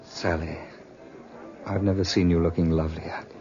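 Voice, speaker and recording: An actor playing a flattering, suave suitor wooing his sweetheart in a 1950s radio drama. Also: low-pitched, normal speed, lightly acted. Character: smooth-talking, flattering sweet-talker and suitor. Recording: muffled old radio recording.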